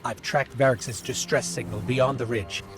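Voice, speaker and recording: Guy as little worried robot sidekick in a game, medium pitch, earnest, loud score behind. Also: normal speed, music in the background, close to an everyday voice. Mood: urgent, concerned. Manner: earnest, urgent explaining. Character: small male robot companion, earnest and worried. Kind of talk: video game dialogue.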